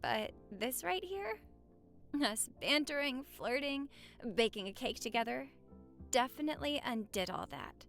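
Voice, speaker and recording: Woman acting a high-voiced, flirty newlywed wife. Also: lively intonation, a little slow, fairly bright tone, faint music behind, exaggerated voice. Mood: affectionate banter. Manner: teasing, flirty banter with sighs and giggles. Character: playful, flustered, lovestruck newlywed wife. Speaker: audio roleplay performer. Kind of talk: romantic audio roleplay acting, intimate chat to the listener.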